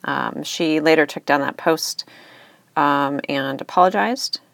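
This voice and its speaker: A woman hosts a solo podcast in a low, steady voice with a touch of vocal fry and uptalk. She talks in a reflective, measured, candid way. The sound is dry and close. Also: no echo. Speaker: podcast host, lawyer.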